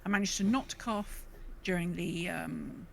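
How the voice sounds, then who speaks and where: A woman's voice: fairly low pitch, a little slow, gravelly, slight background noise. A woman hosting a podcast in casual, chatty conversation. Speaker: podcast host.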